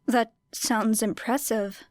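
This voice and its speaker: A woman's voice: on the high side, fairly even intonation, slow pace, darkish tone, no room sound. A woman voicing a weary, guarded teenage girl student with a dry, reserved delivery in an audiobook. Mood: measured.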